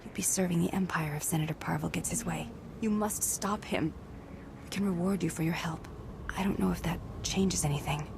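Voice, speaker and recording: Zealous female ex-diplomat, game dialogue, fairly low pitch, grave persuasive tone, clear ambient noise. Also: fairly even intonation, fairly quick. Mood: pleading, secretive. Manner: persuasive, solemn, hushed at times. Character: earnest, secretive ex-military diplomat woman, zealous patriot. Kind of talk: video game voice acting.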